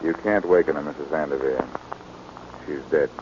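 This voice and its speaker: A man's voice: extremely low pitch, normal speed. A man playing a cool, sardonic reformed-thief detective in 1940s radio acting. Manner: cool, sardonic, lively delivery. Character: wry, confident reformed-thief sleuth. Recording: muffled old radio transfer with hiss.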